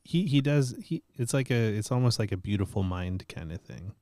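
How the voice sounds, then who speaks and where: A man hosting a casual chat, relaxed and joking with his guest. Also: fairly low pitch, normal speed, no echo, studio quality. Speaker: host.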